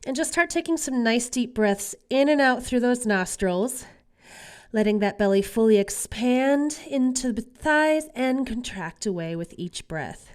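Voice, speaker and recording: A woman's voice: a little high, animated, unhurried, clean tone. A woman yoga instructor getting over a cold, guiding a class in a casual, reassuring way. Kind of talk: guided exercise class instruction.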